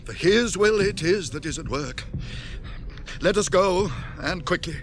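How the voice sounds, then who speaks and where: Old wizard: British, theatrical and commanding, widely swinging pitch, grave authority for radio fantasy. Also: male voice, lively intonation, measured pace, fairly bright tone. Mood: grave, troubled. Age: older. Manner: declamatory, solemn, theatrical. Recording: 1980s radio drama with music behind.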